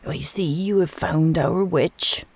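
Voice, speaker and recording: A woman's voice: lowish voice, takes it slowly, gravelly, darkish tone, husky, voice pushed up, full character voice. A woman voicing a nervous, deferential male village elder in a storybook reading, with a meek and respectful manner.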